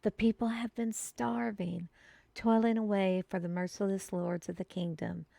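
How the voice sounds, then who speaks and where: A woman reading scripted story narration for an audio roleplay in a measured storytelling delivery. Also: medium pitch, fairly even intonation, creaky, mellow, no echo.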